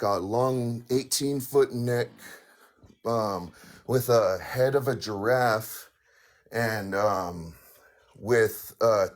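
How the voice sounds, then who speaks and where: Raspy guy telling a spooky story, real slow, lots of ums. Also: fairly low pitch. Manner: halting, many fillers and restarts. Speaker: caller sharing a paranormal story. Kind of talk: hesitant casual storytelling.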